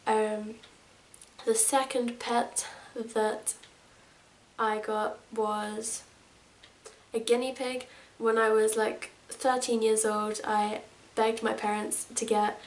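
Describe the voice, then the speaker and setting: A woman's voice: a little high, little pitch movement, slow. A woman in her twenties from England, chatting casually to camera as a vlogger, rambling with lots of fillers. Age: 20s. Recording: echoey room, mic at a distance.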